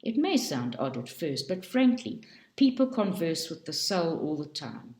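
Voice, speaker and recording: Low-voiced woman reading aloud, echoey room. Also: very animated, a little mellow. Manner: slow, careful reading with frequent self-corrections. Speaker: reader of a book for a study group.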